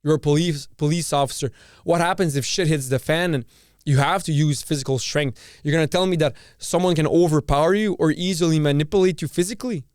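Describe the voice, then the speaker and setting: A man's voice: fairly high pitch, brisk, a little mellow, clear, resonant tone, dry recording, clean studio sound. A man from Serbia hosting a podcast, giving a blunt, profane solo monologue full of repeated words and restarts. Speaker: podcast host.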